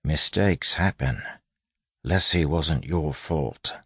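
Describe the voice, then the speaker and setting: A man voicing a loyal, soft-spoken fighter in an audiobook, hushed and deliberate. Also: very deep voice, slightly rough, no echo, heavily acted. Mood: gentle, urging. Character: loyal, softly spoken man, quietly threatening dueling sidekick. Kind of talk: audiobook character acting.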